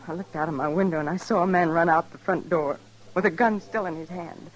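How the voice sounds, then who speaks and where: An actress playing a vengeful Old West card dealer in a radio drama, hard and defiant. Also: female voice, fairly low pitch, brisk, lightly acted, low-quality recording. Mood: bitter, determined. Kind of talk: old-time radio western acting.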